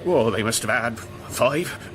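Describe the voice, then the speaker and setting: An actor playing a defensive train steward in a radio drama, nervous, stammering and protesting. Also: male voice, medium pitch, animated, a little slow, noisy background, lightly acted. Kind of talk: naturalistic radio drama acting.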